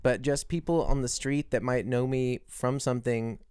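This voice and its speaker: A man hosting a casual podcast interview from his home studio, talking in a relaxed, rambling way. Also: medium pitch, moderate pace, smooth, no echo. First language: English. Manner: relaxed, rambling, self-correcting. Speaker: podcast host.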